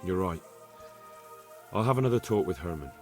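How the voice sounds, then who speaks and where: A polite young man in a medieval game a fairly low voice. He speaks earnestly, a bit hesitantly, over clearly audible music. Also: animated, normal speed. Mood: sympathetic, subdued. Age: young adult. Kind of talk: video game cutscene acting.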